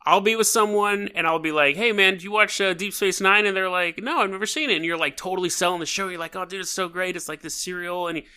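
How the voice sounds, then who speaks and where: A man in his thirties with a very bright, fairly high voice, a little hoarse from a head cold. He talks alone to his listeners in a loose, rambling way and sounds amused at himself. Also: fast talker, hardly any pauses. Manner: chatty, rambling and self-amused. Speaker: podcast host. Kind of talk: casual solo podcast monologue.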